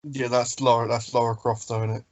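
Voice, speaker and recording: A man's voice: mid-range voice, little pitch movement, fairly quick, dry recording. A man bantering casually about films as a podcast co-host.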